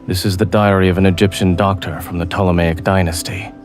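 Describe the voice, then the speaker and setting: A man playing a composed, reserved doctor in a romance game, speaking in a restrained, measured way. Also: low voice, flat delivery, music in the background, lightly acted. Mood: solemn, measured. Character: composed, reserved adult male doctor, quietly teasing. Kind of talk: scripted romance game dialogue.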